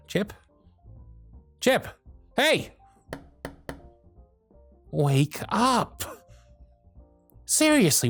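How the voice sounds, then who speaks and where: A man's voice: high voice, dry recording. A man voicing a harried, grumpy male elf supervisor in an audio roleplay, sarcastic and scolding. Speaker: workshop supervisor.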